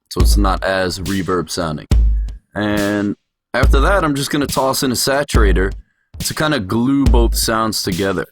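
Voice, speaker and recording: A man narrating a software tutorial as an instructor, explaining things step by step. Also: fairly low pitch. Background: music production sounds, drum hits.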